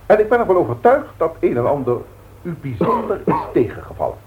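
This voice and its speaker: An actor with a Dutch accent playing a formal, long-winded company director in a 1960s radio drama, speaking in measured, pompous speeches. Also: male voice, a little high, very animated, darkish tone, slight background noise. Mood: ceremonious. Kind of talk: 1960s radio drama acting, boardroom address. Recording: thin phone-like old radio recording.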